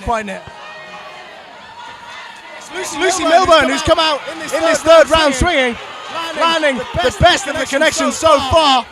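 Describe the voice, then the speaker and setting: Excited male boxing commentator, very high voice, emphatic and repetitive, over loud crowd and music. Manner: emphatic, repeats phrases. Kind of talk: excited live fight commentary. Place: boxing event, amid the crowd.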